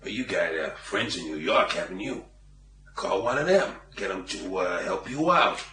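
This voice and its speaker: An actor playing a gruff, laconic New York policeman with an American accent in a radio drama. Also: low voice, expressive, moderate pace, sharp tone, roomy sound. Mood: wry, laconic.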